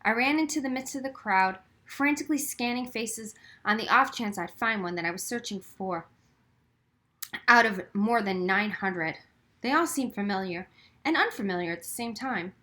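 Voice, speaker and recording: A woman reading a children's novel aloud as expressive, scripted first-person narration. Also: on the high side. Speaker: audiobook reader.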